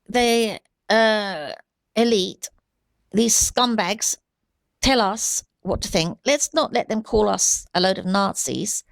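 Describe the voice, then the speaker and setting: A woman giving an unscripted opinion monologue in a halting, deliberate, earnest way. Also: mid-range voice, gravelly, no room sound. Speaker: amateur video commentator.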